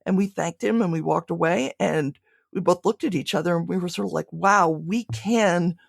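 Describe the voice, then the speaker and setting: A woman's voice: lowish voice, moderate pace, gravelly, no echo, studio quality. A woman being interviewed, speaking reflectively and conversationally, often restarting her phrases mid-thought. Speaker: podcast creator being interviewed.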